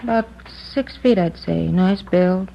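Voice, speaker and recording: A gossipy shop girl, low gravelly voice, old muffled radio sound. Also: measured pace, a little hiss or hum. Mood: chatty. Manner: talkative, confiding, a little gossipy. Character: woman friend of a murder victim, chatty store clerk. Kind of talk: 1950s radio drama acting. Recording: dull old radio broadcast recording.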